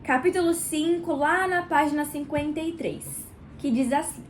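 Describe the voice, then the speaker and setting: A woman with a Brazilian Portuguese accent hosts a book club video in her small kitchen, chatting warmly and directly to the viewer, nasal and stuffed up from a cold. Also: high-pitched, slight background noise. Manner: warm, chatty, addresses viewer directly with pauses. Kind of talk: casual devotional reading vlog.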